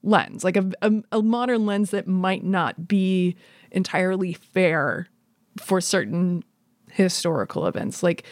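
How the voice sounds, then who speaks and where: A woman in a casual, analytical discussion, thoughtful and measured, rephrasing as she goes. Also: lowish voice, darkish tone, no echo, studio quality. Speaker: podcast discussion participant.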